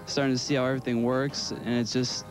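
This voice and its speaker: A young American man answers interview questions casually, pausing often. His pitch is middling, and music plays clearly behind him. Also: little pitch movement, low-quality recording. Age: young adult. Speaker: soccer player being interviewed.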